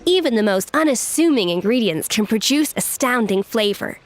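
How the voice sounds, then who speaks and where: Woman doing a refined confident lady chef, game promo style, measured, bit high, music under. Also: animated, natural voice. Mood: self-assured. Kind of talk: video game voice acting. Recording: music behind the voice.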